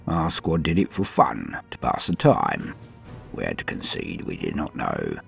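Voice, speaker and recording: Very deep, gravelly old warrior sergeant played by a man. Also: big pitch swings, faint music behind, heavily acted. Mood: proud, solemn. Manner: solemn, halting, dramatic delivery with swings between murmur and force. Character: dying veteran space-marine sergeant, stoic, proud, dutiful, centuries old. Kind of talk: scripted first-person audio drama monologue.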